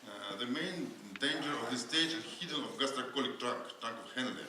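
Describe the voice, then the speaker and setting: A non-native English-speaking man giving a surgery lecture at a conference, halting, with many fillers and restarts. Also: medium pitch, expressive. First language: not English. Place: lecture hall. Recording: very echoey hall with faint noise.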